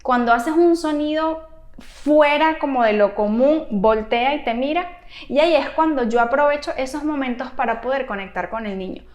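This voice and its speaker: A woman speaking as a therapist who gives advice to parents in an explanatory, animated and emphatic way. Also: sits high, normal speed. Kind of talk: explanatory video advice to camera. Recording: roomy, echoey room sound.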